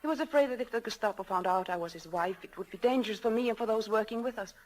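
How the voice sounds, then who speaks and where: An actress playing an anguished young wife torn between two loves, pleading and hesitant, in a 1940s radio drama. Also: female voice, fairly high pitch, quick pace, slight background noise, lightly acted. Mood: tearful confession. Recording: old 1940s radio broadcast.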